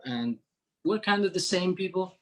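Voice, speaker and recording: A man's voice: sits high, animated, normal speed. Syrian man answering in an interview. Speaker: interview guest, musician working with NGOs.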